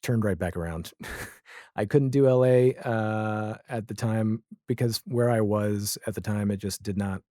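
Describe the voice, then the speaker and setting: A man speaks in a low, dark-toned voice, recorded close and dry. He chats casually as an interview guest, rambling in an animated, self-deprecating way. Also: a little slow, no room sound. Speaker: podcast guest, audio drama creator. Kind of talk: casual podcast interview chat.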